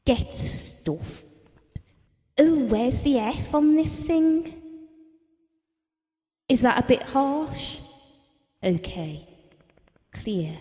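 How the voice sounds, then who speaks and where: A candid, self-deprecating wife in an audio drama, with very animated intonation and a soft, mellow tone. She confides in a wry, conversational way, in a space with long reverb. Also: high voice, extremely slow, long pauses, exaggerated voice. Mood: defensive, wry. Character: candid, self-deprecating, bereaved wife reminiscing about her marriage. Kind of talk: intimate audio drama monologue.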